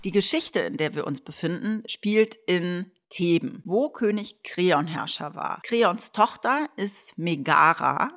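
A woman's voice: fairly low pitch, expressive, slow pace, few pauses, fairly bright tone. A woman speaking as a theatre director, informally explaining a play to her team. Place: home office video call.

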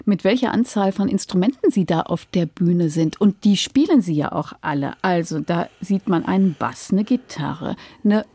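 A woman's voice: lowish voice, expressive, moderate pace, few pauses, warm tone, breathy edge, dry recording. A woman hosting a radio interview, speaking in a composed, inquisitive, conversational way.

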